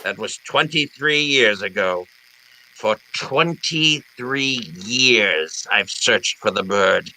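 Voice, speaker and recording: A man's voice: fairly low pitch, animated, slow, bright, forceful tone, full character voice, low-quality recording. A man playing a genteel, wily crime boss in a radio detective drama, speaking in a pompous, florid, courteous way. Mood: grandly scheming. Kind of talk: old time radio detective drama acting.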